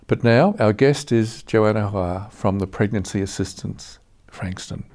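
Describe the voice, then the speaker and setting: A man's voice: fairly low pitch, unhurried, a little mellow. A man hosting a TV studio interview, asking friendly questions with hesitant ums. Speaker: TV show host.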